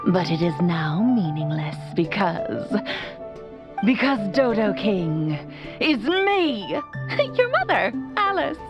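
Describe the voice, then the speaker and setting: Playful sorceress mother, very lively intonation, teasing, dramatic, video game, music behind. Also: medium pitch, slow pace. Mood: mock-menacing. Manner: teasing, dramatic, sing-song delivery. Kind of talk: animated video game voice acting.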